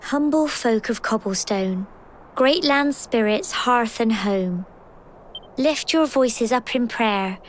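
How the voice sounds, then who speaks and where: High-pitched, plucky village girl. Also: slight background noise, low-quality recording. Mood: solemn. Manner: expressive, earnest, chatty. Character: plucky, kindly village girl just coming of age. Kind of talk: video game voice acting.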